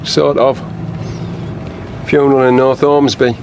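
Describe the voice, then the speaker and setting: Male actor for plain-speaking, down-to-earth colleague in radio drama; medium pitch, blunt, clearly noisy outdoor vehicle backdrop. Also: little pitch movement, a little slow, a little mellow, natural voice. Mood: casual. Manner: blunt, matter-of-fact. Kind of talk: naturalistic radio drama acting.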